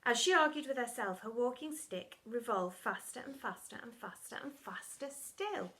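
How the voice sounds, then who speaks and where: A woman's voice: fairly high pitch, lively intonation, normal speed, fairly bright tone. A woman narrating a children's story in a dramatic storytelling style, as for primary school pupils.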